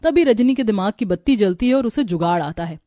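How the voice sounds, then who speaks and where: A woman's voice: medium pitch, lively intonation, fairly quick, mellow, faint music behind. A woman narrating a scripted cartoon story.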